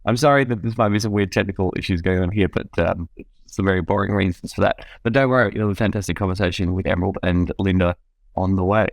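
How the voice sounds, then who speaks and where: A man's voice: sits low, quick pace, dry recording. Dry-sounding wry Australian man. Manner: wry, jokey, with swearing. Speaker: podcast host. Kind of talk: casual political chat. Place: small room by green wall.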